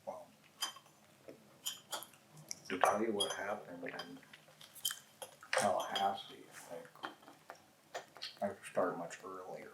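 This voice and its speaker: A woman playing an evasive, weary male suspect in a soft-spoken ASMR roleplay, with a low gravelly voice and halting, guarded answers. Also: fairly even intonation. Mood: uneasy. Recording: roomy echo, loud noise, clinking dishes.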